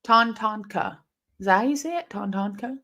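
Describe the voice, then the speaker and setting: A woman from Illinois hosting a live wrestling watch-along, chatting casually and rambling, with intense, sharp S sounds left from a lisp. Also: medium pitch, moderate pace. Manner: chatty and rambling, heavy use of "like". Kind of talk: casual live-stream chat reading comments.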